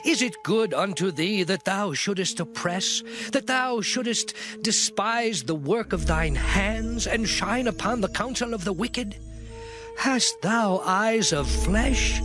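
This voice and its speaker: An actor playing a weary, anguished man pleading with God in a solemn, impassioned, theatrical scripture drama over music. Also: high-pitched. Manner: solemn, impassioned, declamatory, archaic phrasing. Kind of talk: dramatized Bible reading, theatrical monologue. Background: music behind the voice.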